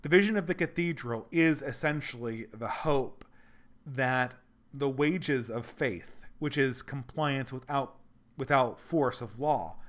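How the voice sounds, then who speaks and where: A man hosting a casual livestream Q&A, losing his voice, rambling earnestly and thinking aloud as he answers viewers. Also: mid-range voice. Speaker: livestream host reading donations.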